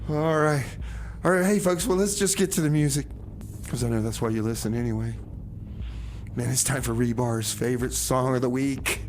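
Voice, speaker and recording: A man's voice: medium pitch, moderate pace. Casual male radio host over background noise. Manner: rambling, repeats phrases, says "man" casually. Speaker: community radio show host. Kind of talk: casual music show presenting with comedy banter. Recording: noticeable background noise, ambience.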